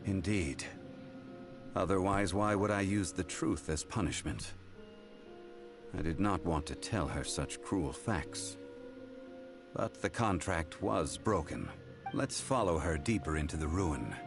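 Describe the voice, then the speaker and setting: A very low-voiced ancient god in human form speaks with grave formality. Every sentence is calm and measured, in the manner of a scripted game cutscene, with music playing clearly behind it. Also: male voice. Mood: grave, matter-of-fact. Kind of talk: scripted video game voice acting.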